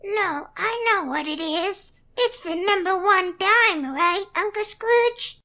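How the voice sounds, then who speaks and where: An actor voicing an excitable young cartoon duck nephew who tells stories earnestly and eagerly in a fan comic dub. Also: very high voice, slow pace, exaggerated voice. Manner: earnest, enthusiastic storytelling.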